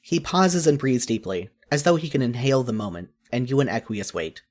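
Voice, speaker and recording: A man narrating a scripted story in the second person with an expressive storytelling delivery. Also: medium pitch, brisk.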